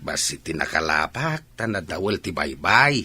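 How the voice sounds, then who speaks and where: A man's voice: extremely low pitch, big pitch swings, normal speed, pushed and bright, slightly rough, full character voice. An actor playing a weary village fisherman father in an Ilocano radio drama, speaking with an Ilocano accent. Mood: tired, patient.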